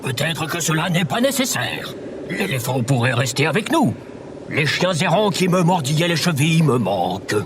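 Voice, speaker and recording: High, earnest, formal sidekick played by a man. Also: sing-song, moderate pace, background noise. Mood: earnest, conciliatory. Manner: overly polite, formal phrasing. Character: earnest, formal, gently naive male sidekick. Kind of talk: comedic audio drama acting.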